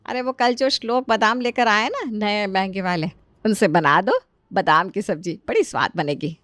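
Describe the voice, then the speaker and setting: A woman's voice: medium pitch, moderate pace, fairly bright tone, no echo, natural voice. A woman playing an older, doting mother-in-law in a family story, fussing and emphatic. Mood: reassuring. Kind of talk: acted family storytelling dialogue.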